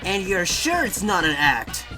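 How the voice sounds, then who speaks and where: A woman voicing a cocky, quick-witted young male hedgehog hero in a comic dub, casual and wry. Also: on the low side, big pitch swings, full character voice. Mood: skeptical. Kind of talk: comic dub character acting. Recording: loud music behind the voice.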